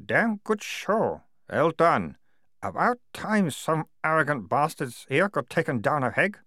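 Man acting a clipped, animated army captain, pitched up. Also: normal speed, no room sound, studio quality. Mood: conspiratorial. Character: army captain, gruff man, incredulous then conspiratorial.

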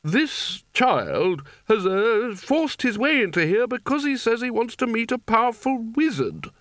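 A man's voice: extremely high pitch, sing-song, measured pace, fairly bright tone, dry recording, pitched above the performer's own voice, full character voice. A man voicing a stern, wiry male wizard who talks in a severe, clipped, disapproving way.

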